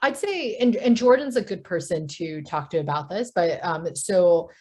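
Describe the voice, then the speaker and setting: A woman with a notably low voice speaks as a guest in a casual remote interview. She rambles a little, circling back with fillers and restarts as she explains her office's work. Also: expressive, moderate pace, warm tone. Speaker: guest, city data equity lead.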